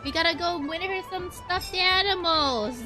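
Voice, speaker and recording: A woman's voice: extremely high pitch, unhurried. A woman giving casual, rambling live commentary as she plays a video game, chatty and slangy. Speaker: streamer playing a video game. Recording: game music behind voice.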